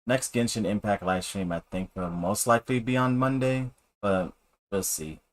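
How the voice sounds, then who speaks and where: Low-voiced man chatting on a livestream. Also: normal speed. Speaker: live streamer. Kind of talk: casual game livestream chat.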